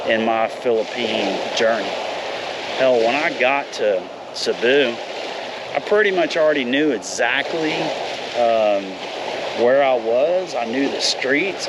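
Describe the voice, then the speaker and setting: A man's voice: mid-range voice, very noisy. A man in his fifties talking in a casual, rambling vlog, candid and a little profane. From United States. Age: 50s. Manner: candid, rambling, mild swearing. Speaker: vlogger.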